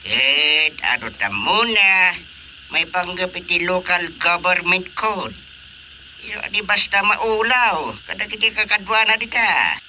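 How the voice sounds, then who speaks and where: An older village husband with an Ilocano accent and a very bright, forceful voice. He sits fairly high for a man and sounds concerned, in radio drama style, over faint background noise. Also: expressive, takes it slowly, heavily acted, low-quality recording. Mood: questioning.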